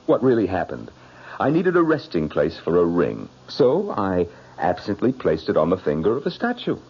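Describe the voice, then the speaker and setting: Radio drama: defensive ladies' man, low-pitched, halting, sardonic, on a muffled old broadcast. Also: wildly swooping pitch, slightly rough, full character voice. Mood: agitated, cynical. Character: evasive, troubled bridegroom, glib and defensive ladies' man.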